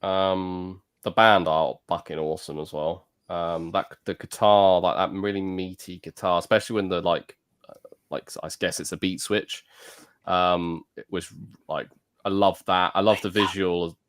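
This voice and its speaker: A man's voice: low voice, fairly even intonation, normal speed, a bit bright, clean tone. A man co-hosting a music review podcast, chatting hesitantly with many ums while praising a singer's vocals. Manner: hesitant, lots of ums, enthusiastic about vocals. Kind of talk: casual chat.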